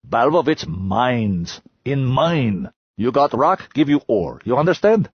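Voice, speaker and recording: A man voicing a blunt miner who speaks in broken, clipped English, talking higher than his own voice. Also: very animated, moderate pace, no echo, lo-fi sound.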